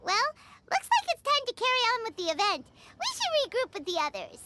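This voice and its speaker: A woman voicing a small, childlike, excitable fairy companion in a video game, with a squeaky, theatrical delivery. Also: fairly quick, heavy background noise, full character voice. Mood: cheerful. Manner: theatrical, speaks of self in third person. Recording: loud music behind the voice.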